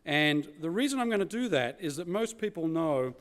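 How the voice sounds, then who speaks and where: A man's voice: a little high, big pitch swings, normal speed. A man with an Australian accent giving an informal keynote presentation, conversational and peppered with casual tags like "you know" and "right?". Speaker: keynote speaker.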